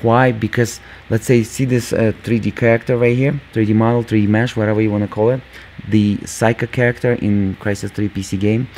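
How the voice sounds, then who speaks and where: A man hosting a gaming channel, talking casually over gameplay with a squeaky voice, lots of fillers, and calling viewers "guys". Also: little pitch movement, a little fast, a little mellow, slight background noise. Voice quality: squeaky, just-woken voice. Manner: rambling, many fillers, addresses viewers as guys.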